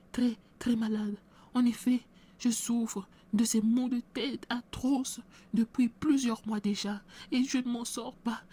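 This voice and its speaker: A woman's voice: a little high, warm tone, breathy edge, voice pushed up. Woman playing a desperate, pleading sick woman. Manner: pleading, tearful, anguished. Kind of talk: amateur church skit acting.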